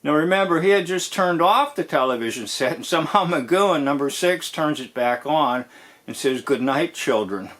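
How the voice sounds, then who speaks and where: A man giving informal commentary on a TV episode as host, in a deliberate, drawn-out way that dwells on key phrases. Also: a little high, expressive, fairly bright tone.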